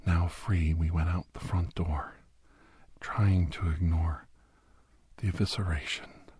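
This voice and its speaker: A man playing a grim doctor recounting a bloody werewolf rescue in a hushed, breathy, halting ASMR storytelling style. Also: low voice, fairly even intonation, dry recording, exaggerated voice. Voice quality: breathy, husky near-whisper. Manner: hushed, halting, dramatic delivery. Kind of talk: whispered ASMR horror storytelling.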